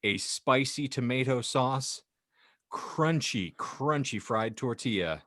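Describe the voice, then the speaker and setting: A man's voice: medium pitch, slow, a bit bright, no room sound, clean studio sound. A man hosting a casual comedy podcast, bantering and speechifying with mock-solemn grandeur. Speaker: podcast host.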